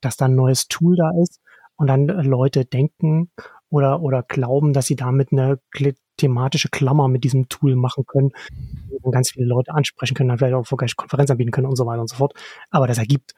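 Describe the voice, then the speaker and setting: Husky-voiced man, podcast guest. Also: medium pitch, level tone, fast, mellow, no echo. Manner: thinks aloud with restarts and tag questions. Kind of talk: casual podcast conversation.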